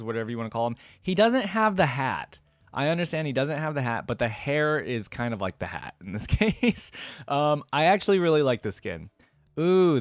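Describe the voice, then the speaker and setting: A man's voice: medium pitch, no echo. Man casually reacting, dry close sound. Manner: informal, thinking out loud. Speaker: reaction video host.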